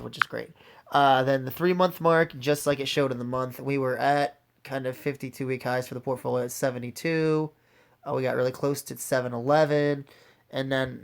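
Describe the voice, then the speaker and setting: A man giving a casual, unscripted video walkthrough, his voice raspy as it recovers from being lost, speaking informally straight to viewers. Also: mid-range voice. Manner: informal, addresses viewers directly. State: recovering from a lost voice, occasional throat clearing. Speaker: host of an investing video series.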